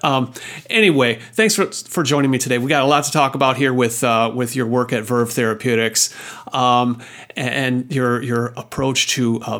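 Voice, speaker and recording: A man's voice: mid-range voice, fairly bright tone. A man hosts a podcast interview in a casual, conversational way. His voice sits in an ordinary middle range. He often stumbles and restarts his questions. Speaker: podcast host.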